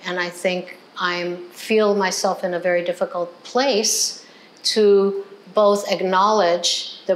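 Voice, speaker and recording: Older woman, even-toned and measured. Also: fairly low pitch, poor audio. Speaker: professor and writer, panelist. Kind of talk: reflective panel discussion. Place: gallery room, indoors.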